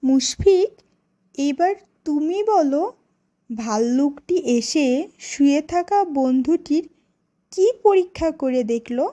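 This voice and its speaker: Slow, high-pitched woman telling children's stories. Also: lively intonation, dark tone. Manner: slow, deliberate, teacherly phrasing. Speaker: storyteller and teacher. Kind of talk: scripted children's story narration and classroom questioning.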